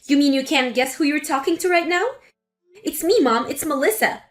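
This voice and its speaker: A woman's voice: high-pitched, moderate pace, faint music behind, lightly acted. A woman as an indignant grown daughter. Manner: sharp, indignant. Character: angry grown daughter defending her sister-in-law.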